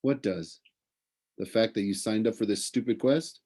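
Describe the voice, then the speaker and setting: A man's voice: fairly low pitch, normal speed, a little mellow, lightly acted. A man voicing a young boy hero in a storybook, casual, curious and skeptical, full of questions. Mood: casual, teasing.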